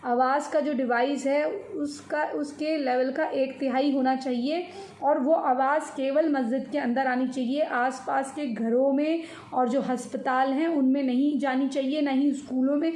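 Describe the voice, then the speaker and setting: A woman with an Indian accent giving informal news commentary, explaining in a halting, repetitive way and mixing in English words. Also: high voice, fairly even intonation, darkish tone. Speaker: commentator on social and religious news. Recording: echoey room with faint noise.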